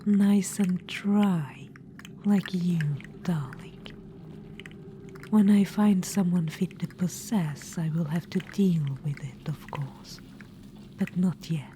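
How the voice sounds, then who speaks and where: A woman's voice: fairly low pitch, slow pace, mellow, a little hiss or hum. A woman voicing an imperious, gleefully macabre undead witch-queen in a whispered audio roleplay, cooing "darling" at the listener. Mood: doting menace.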